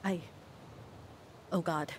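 Defensive middle-aged townswoman, noisy rumbling backdrop. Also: very slow. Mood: flustered, regretful. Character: guilt-ridden, judgmental middle-aged townswoman, defensive and self-righteous. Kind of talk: naturalistic video game drama acting.

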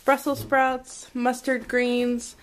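A woman with a fairly high voice chats casually as a home vlogger. She rambles a little, thinking aloud as she goes. The sound comes from a handheld camera indoors, with slight noise behind her. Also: level tone, slow pace. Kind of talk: casual chat, showing a grocery haul. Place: indoors at a home dining table. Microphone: handheld camera microphone. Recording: noisy handheld camera audio with clicks.

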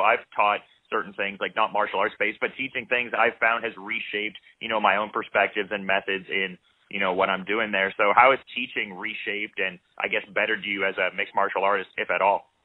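A man's voice: on the low side, dry recording. A male interviewer asking long, winding questions in a casual, wordy way. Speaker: interviewer, host. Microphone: studio mic with headphones. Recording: dry, tinny phone-like sound.

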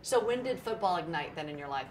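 A woman's voice: on the low side, lively intonation, quick pace. A woman hosting a TV interview, asking upbeat questions. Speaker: TV interview host.

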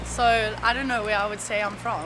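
A woman's voice: mid-range voice, steady tone, fairly quick. A young woman in her twenties with a South African accent, chatting in a playful, joking way. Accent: South African English. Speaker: model. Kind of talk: casual chat. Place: busy city street outdoors. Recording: loud street traffic noise.

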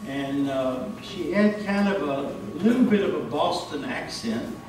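Slow-paced older American preacher in echoey hall. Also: male voice, a little high, expressive. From Texas, United States. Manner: folksy, illustrating with homely stories. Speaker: pastor. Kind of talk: sermon. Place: church stage, indoors. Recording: echoey hall, distant mic, faint noise.

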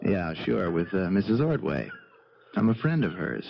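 Gravelly, scheming beach-boy lover. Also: low-pitched, big pitch swings, moderate pace, a little hiss or hum, exaggerated voice. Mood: insolent. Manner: slangy, insolent drawl with hesitant hums. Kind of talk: 1970s radio drama acting. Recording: muffled 1970s radio recording.

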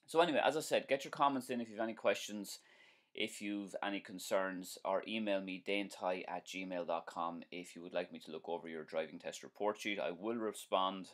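Chatty Irish driving instructor, low voice. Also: male voice, a bit bright. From Ireland. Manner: chatty, friendly, repeats himself, many um and uh fillers. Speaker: driving instructor hosting a live stream. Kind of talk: casual live-stream talk, reading viewer comments. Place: small indoor room at home.

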